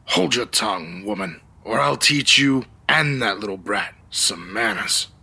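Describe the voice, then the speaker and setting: An actor playing a surly, gruff ruffian in a comedic old-time radio adventure. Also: male voice, on the low side, lively intonation, a little slow, sharp tone, no echo, exaggerated voice. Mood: menacing. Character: surly male gold-rush bully and ruffian.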